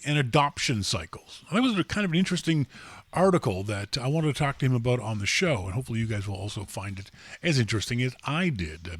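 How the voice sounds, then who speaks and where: A man's voice: lowish voice, a bit bright, no room sound. A man hosting a live tech podcast, rambling and joking in casual chat with many fillers and restarts. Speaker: podcast host.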